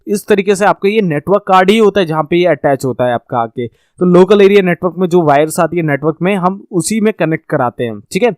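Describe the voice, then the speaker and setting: High-voiced man teaching networking in Hindi. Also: animated, brisk, few pauses, a little mellow, no room sound, studio quality. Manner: conversational teaching, addresses viewers directly, mixes English terms into Hindi. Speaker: networking course instructor. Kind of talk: explaining slides in a recorded lesson.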